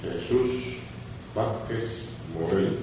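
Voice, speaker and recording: A man's voice: on the low side, very slow. A Cuban man in his forties answering interview questions, hesitant and often correcting himself. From Cuba. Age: 40s. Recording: echoey room from far off, noisy.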